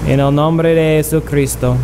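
A man preaching a sermon in a church, pausing in short phrases for an interpreter. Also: a little high, steady tone, heavy background noise. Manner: slow, halting, pausing for translation. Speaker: guest preacher. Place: church sanctuary.

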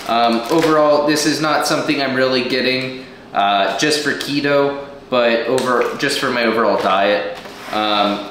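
A man in a casual, relaxed video, talking through his groceries one item at a time with plenty of ums and uhs. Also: mid-range voice. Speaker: fitness vlogger. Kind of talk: casual grocery haul walkthrough. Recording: very echoey room, faint noise.

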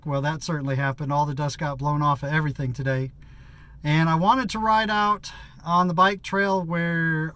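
A man's voice: on the high side, sharp tone, no room sound. A tired man in his fifties chatting in a rambling, casual vlog from inside a parked vehicle, still catching his breath after a bike ride. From United States. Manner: rambling, chatty, self-correcting. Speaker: vlogger. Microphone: phone or action camera at arm's length.

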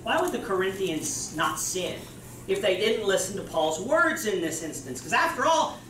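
A young man preaches a sermon, explaining each point with a lively, up-and-down voice. His voice echoes in a church meeting room, recorded from across the room with a faint hiss behind it. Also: high-pitched, moderate pace. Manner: gestures broadly while preaching, explanatory. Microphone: far camera. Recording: roomy hall, distant mic.

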